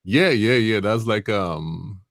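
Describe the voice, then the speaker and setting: A man's voice: fairly low pitch, slow pace, gravelly, no room sound, clean studio sound. A man hosting a live-stream interview, eager and chatty, often repeating words as he talks. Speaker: host. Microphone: video call.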